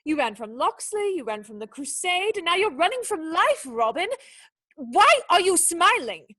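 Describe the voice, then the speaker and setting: A woman plays a young noblewoman in a radio drama, high-pitched and proud. She scolds in articulate, cutting phrases, indignant and wounded underneath. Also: big pitch swings, moderate pace, pushed and bright, exaggerated voice. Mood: angry, accusing. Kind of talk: radio play acting.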